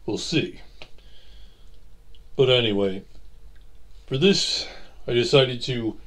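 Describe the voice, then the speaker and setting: A man hosting his audio channel, rambling casually to listeners in a halting, reflective way. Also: mid-range voice, a bit bright. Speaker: host of an audio roleplay channel.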